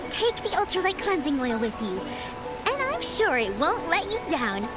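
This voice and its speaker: Very high, excitable young inventor girl. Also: moderate pace, loud music behind the voice. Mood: excited, proud. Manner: theatrical, gushing, exclamatory. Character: excitable, dramatic young female beauty-product inventor, bubbly and proud. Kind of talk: video game cutscene acting.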